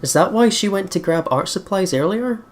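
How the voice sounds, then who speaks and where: A let's play host commenting on a game in an animated, informal way, often repeating words. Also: medium pitch, moderate pace. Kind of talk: game commentary and dramatic read-aloud of narration.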